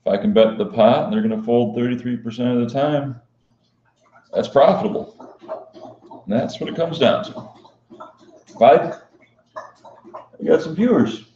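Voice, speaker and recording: Casting: male poker vlogger, mellow monotone, unhyped casual Q&A delivery, dull lo-fi roomy recording. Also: mid-range voice, moderate pace, pauses often. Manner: flat, unhyped, matter-of-fact delivery. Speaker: professional poker player and vlogger hosting a live stream. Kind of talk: casual live-stream Q&A chat. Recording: dull, lo-fi sound in echoey room.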